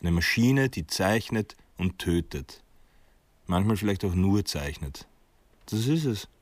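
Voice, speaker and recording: An actor playing a stubborn, brooding private detective who muses dryly and wryly in a radio play. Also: male voice, low-pitched, expressive, measured pace, dry recording. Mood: grim musing. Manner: reflective, dry first-person musing. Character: stubborn one-armed male private detective, brooding and wry.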